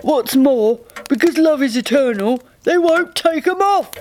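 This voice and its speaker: A woman acts out a furious little Cupid for a storybook tale. The voice is very high and squealing, drawing out its words with exasperated indignation. There is faint noise behind the live reading. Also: expressive, unhurried, a little mellow. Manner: indignant, exclaiming.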